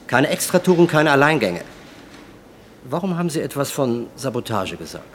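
An actor playing a stern senior police official who coldly reprimands a subordinate in a radio drama. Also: male voice, mid-range voice, sing-song, normal speed, a little hiss or hum, close to an everyday voice. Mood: reproachful. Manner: cold, reproachful and sarcastic. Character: stern male senior police superior, reproachful.

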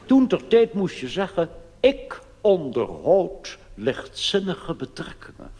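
A man's voice: high-pitched, huge pitch swings, slow, heavily acted. A man performing a comic stage monologue as an old-fashioned elderly churchgoer who rambles hesitantly and chattily about his youth to the youngsters. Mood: confiding, reminiscing. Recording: reverberant hall with faint background noise.

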